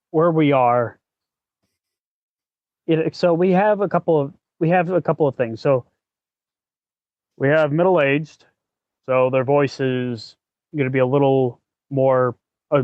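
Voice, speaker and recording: Male podcast host, slightly high-pitched, casual and explanatory, halting delivery, close dry sound. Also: no room sound, phone-quality sound. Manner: halting, thinking aloud. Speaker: working actor.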